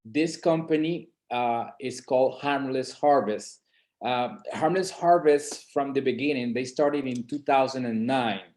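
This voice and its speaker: A man's voice: medium pitch, measured pace, darkish tone. A middle-aged man from Colombia's San Andres islands giving an informal webinar presentation, hesitant, with many fillers and restarts. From San Andres and Providence, Colombia.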